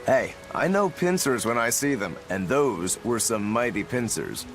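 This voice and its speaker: A man's voice: medium pitch, big pitch swings, unhurried, soft background music. A man voicing an easygoing, boyishly enthusiastic swordsman in a video game, informal and breezy. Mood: excited, argumentative. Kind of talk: English video game voice acting.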